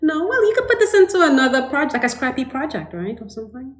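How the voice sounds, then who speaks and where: A woman's voice: sits high, sing-song, fast. A woman in her forties from Trinidad, co-hosting a knitting podcast in casual chat, laughing often and trailing off with ums. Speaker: podcast co-host. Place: home living room, indoors. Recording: echoey room.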